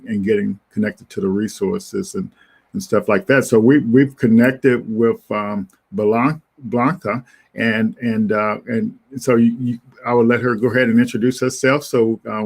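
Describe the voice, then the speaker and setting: A man hosting a webinar, talking in a halting way with many restarts and repeated words. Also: on the low side, gravelly. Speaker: host.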